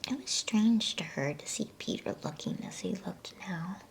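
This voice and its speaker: A woman reading a bedtime story in a breathy, soothing ASMR whisper. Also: medium pitch, expressive. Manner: hushed, unhurried, soothing delivery. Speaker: storybook reader.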